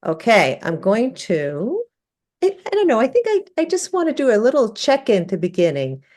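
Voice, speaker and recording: A woman's voice: a little high, normal speed. Woman teacher opening an online class. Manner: relaxed, many fillers and restarts. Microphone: video call.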